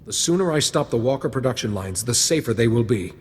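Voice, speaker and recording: An actor playing a calm, resolute Jedi mediator in a video game, measured and formal. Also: male voice, medium pitch, a little hiss or hum, lightly acted. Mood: reassuring. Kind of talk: video game cutscene acting.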